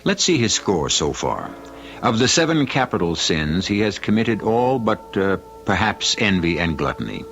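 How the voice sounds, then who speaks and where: A man hosting an old-time radio mystery, narrating in a wry, measured, theatrical way. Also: on the low side, sing-song, a bit bright. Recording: dull vintage radio recording. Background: faint music.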